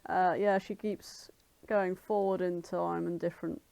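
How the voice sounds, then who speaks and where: A woman's voice: on the low side, flat delivery, measured pace, creaky, mellow, dry recording. A woman co-hosting a podcast, chatting informally in slangy, sweary language. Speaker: podcast co-host. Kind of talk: casual chat.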